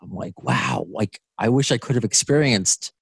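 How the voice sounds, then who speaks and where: A man's voice: lowish voice, big pitch swings, normal speed, breathy edge, dry recording, clean studio sound. A man in his late thirties hosting a podcast interview, chatty, laughing often and restarting sentences. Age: 30s. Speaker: podcast host. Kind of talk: casual interview.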